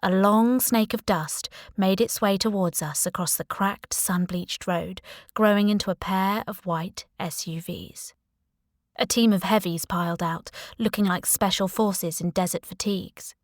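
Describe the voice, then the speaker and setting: Woman, audiobook narration, first-person fiction, wry, expressive, medium pitch, dry recording. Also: unhurried, no room sound.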